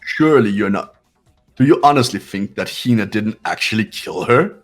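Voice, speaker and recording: A cold, arrogant young rich heir, voiced by a man in a fan-voiced game drama. He talks down to everyone in a lowish, flat-toned voice. Faint music runs underneath. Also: moderate pace, natural voice. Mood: condescending. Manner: cold, condescending, dismissive.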